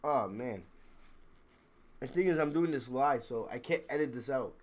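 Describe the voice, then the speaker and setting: A man's voice: mid-range voice, animated, moderate pace. A man with a congested, under-the-weather voice giving blunt, emphatic, opinionated commentary as a casual livestream host. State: congested, sick with a cold. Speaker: wrestling review livestream host. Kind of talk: casual live commentary, chatting to viewers.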